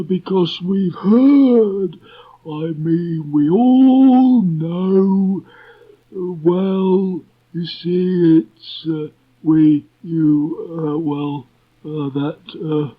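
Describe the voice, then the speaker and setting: A man voicing a gloomy, flustered storybook donkey who grumbles haltingly in a strained, drawn-out voice. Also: sits high, voice pushed up, full character voice. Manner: halting, ponderous, complaining. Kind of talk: storybook character reading. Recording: dull restored cassette tape.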